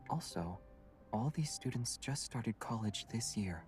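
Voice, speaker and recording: Calm, measured young man played by an actor. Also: medium pitch, soft background music, lightly acted. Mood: calm, thoughtful. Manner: measured, soft, composed. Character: calm, gentle young man, quietly observant game companion. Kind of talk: voice acting in a romance game.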